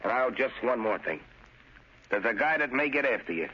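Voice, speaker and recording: A man's voice: medium pitch, animated, normal speed, bright, forceful tone, husky. A man playing a bitter, menacing condemned gangster with tough, slangy street talk in a vintage radio drama. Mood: low, commanding. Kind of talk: 1940s radio acting. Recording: muffled old radio recording.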